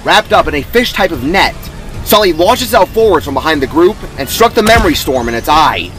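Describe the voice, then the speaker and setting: Male narrator for dramatic fiction, slightly high voice, very expressive pitch. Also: brisk, soft background music, poor audio. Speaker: author narrating own story. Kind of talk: dramatic scripted story narration.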